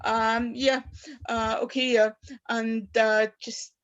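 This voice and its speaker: A woman in her fifties, a native German speaker from Austria, talks haltingly about her life as a poet, with many fillers and restarts. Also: fairly high pitch, little pitch movement. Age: 50s. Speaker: poet at an open mic. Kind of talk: personal talk and poetry reading. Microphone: video call. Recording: dull lo-fi video call audio.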